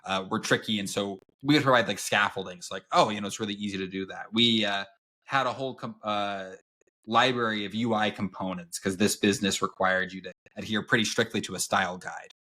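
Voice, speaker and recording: A man's voice: steady tone, fairly quick, pushed and bright. A man co-hosting a book podcast, talking casually with lots of fillers and acting out quotes. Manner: filler-heavy, lots of "like", acts out quotes in a high voice. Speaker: podcast co-host. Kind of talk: casual chat about a book.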